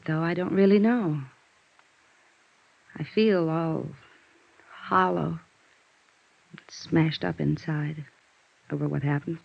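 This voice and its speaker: Gravelly, world-weary widow, old radio. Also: female voice, on the low side. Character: world-weary, bitter, guarded widow of a gambler. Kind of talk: 1950s radio drama acting. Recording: dull, band-limited vintage radio recording.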